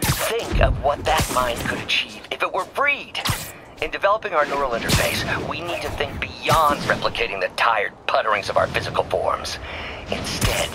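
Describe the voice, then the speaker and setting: An actor playing an excitable older male scientist mentor, speaking grandly about his ideas with dramatic pauses. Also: medium pitch, loud music behind the voice, natural voice. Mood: excited.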